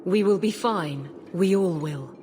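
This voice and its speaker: An actress playing a firm, practical woman soldier and loyal wife in a fantasy video game, brisk and steady. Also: fairly low pitch, background noise, natural voice. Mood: resolute.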